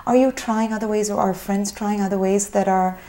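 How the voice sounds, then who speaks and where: A woman of ordinary pitch moderates a television panel. She poses long, reflective questions and hedges them with fillers as she works her way toward the point. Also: steady tone, a little fast, dark tone. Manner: thoughtful, hedging questions with many fillers. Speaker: TV talk show host.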